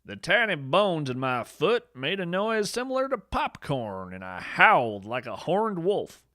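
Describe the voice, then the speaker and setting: A man's voice: on the high side, sing-song, a little slow, pushed and bright, no room sound, studio quality, exaggerated voice. A man playing a folksy, enthusiastic naturalist in a fiction podcast, telling whimsical first-person stories in an earnest way. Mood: fascinated, wry. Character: folksy, enthusiastic male field naturalist of fantastical creatures.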